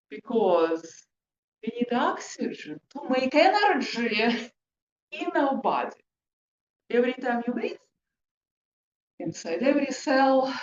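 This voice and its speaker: Female workshop presenter, non-native English, very lively intonation, unhurried emphatic phrasing, muffled noisy recording. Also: sits high. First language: not English. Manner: emphatic, questioning, short deliberate phrases. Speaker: presenter, hypnotherapist. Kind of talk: interactive talk to a group. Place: room with an audience, indoors. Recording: echoey, muffled room recording with background noise.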